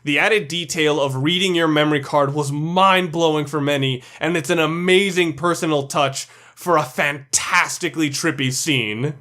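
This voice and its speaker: A man with a slightly high voice narrates a countdown video. His delivery is scripted commentary, set out item by item as a video essayist. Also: normal speed, hardly any pauses, pushed and bright.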